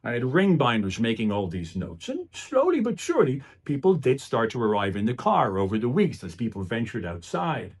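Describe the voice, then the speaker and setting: A man's voice: mid-range voice, wildly swooping pitch, normal speed, few pauses, breathy edge. A man delivering a motivational speech in an earnest, emphatic way, often posing rhetorical questions. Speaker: public speaker.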